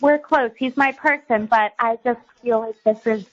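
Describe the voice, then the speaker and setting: High-voiced young American woman calling in. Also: normal speed, dull audio. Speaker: caller to a radio show. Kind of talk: casual conversation.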